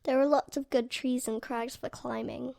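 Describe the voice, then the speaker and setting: Young girl reading carefully, high voice w some gravel. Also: fairly even intonation, normal speed, darkish tone. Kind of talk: reading a prepared statement.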